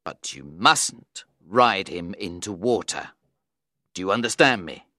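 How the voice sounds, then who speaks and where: A woman's voice: rumbling low, expressive, takes it slowly, sharp tone, dry recording, studio quality, pitched below the performer's own voice, full character voice. A woman voicing a sly, smiling male magician in a storybook reading, with a knowing, teasing manner.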